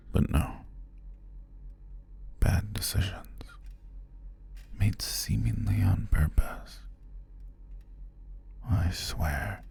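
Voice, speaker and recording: A man playing a cruel, possessive stalker captor in an intimate ASMR roleplay, speaking in a breathy, condescending, taunting murmur that often drops near a whisper. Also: rumbling low, warm tone, heavily acted.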